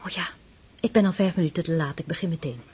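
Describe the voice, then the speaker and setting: An actress playing a devoted, slightly nervous princess in a radio drama, with a breathy, husky voice. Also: female voice, on the low side, fast, darkish tone. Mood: flustered.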